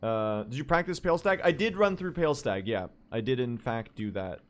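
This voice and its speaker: A young man talks to his livestream audience in a loose, rambling way, often turning to chat with questions. His voice sounds hoarse and a bit worn out, yet he stays upbeat and excitable, with a middling pitch. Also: fairly quick. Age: young adult. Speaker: speedrun streamer. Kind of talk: casual livestream chat to viewers.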